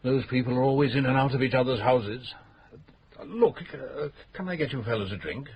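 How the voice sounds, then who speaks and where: A man's voice: mid-range voice, brisk, a little mellow, lightly acted. An actor playing a fussy, evasive widowed middle-aged man in a radio drama, hesitant and full of filler sounds. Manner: hesitant, full of uhs, flustered. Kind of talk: 1970s-80s radio drama acting.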